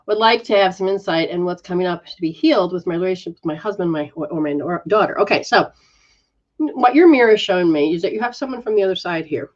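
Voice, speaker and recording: A woman giving a live spiritual reading and healing session, coaching softly with repeated phrases. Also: fairly low pitch, animated, quick pace, highs cut off. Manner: soft, coaching, repetitive phrasing. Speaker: metaphysical practitioner giving readings.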